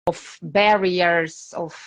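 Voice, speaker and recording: A Ukrainian woman in her thirties speaking English with a Ukrainian accent, a teacher being interviewed in a casual live chat, pausing often to search for words. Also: fairly low pitch, fairly even intonation, rough recording. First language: Russian. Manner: hesitant, searching for words, many fillers. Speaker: guest teacher.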